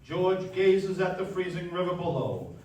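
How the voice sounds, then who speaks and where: A man performs as a polished 1940s radio host with a high-set voice. The delivery is formal, projected and genial, full of swooping inflection. It comes from a live stage, distant and very reverberant. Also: sing-song, unhurried, a little mellow, slight background noise. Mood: solemn, dramatic. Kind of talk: 1940s radio acting, scripted announcing. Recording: echoey hall, distant mic, live stage.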